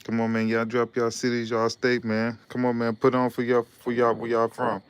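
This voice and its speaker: Laid-back man, smooth steady voice. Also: medium pitch, moderate pace. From Detroit, USA. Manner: loose and repetitive, reading out viewer comments. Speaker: live stream host. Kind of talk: casual live-stream chat with viewers.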